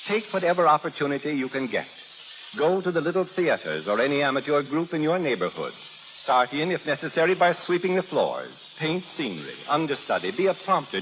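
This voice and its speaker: A man giving a prepared 1930s radio speech as a drama coach, formal, earnest and oratorical. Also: medium pitch. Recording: muffled 1930s radio recording, faint noise.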